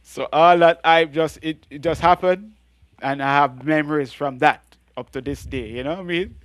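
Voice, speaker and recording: A middle-aged Jamaican man with a deep voice, telling animated stories as a guest in an interview. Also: normal speed, no room sound. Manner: animated storytelling with frequent laughs and restarts. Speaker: guest reggae artist. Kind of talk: storytelling interview.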